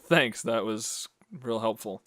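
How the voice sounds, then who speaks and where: A man's voice: fairly low pitch, steady tone, slow pace, a bit bright. A man presenting a radio drama as its host and announcer.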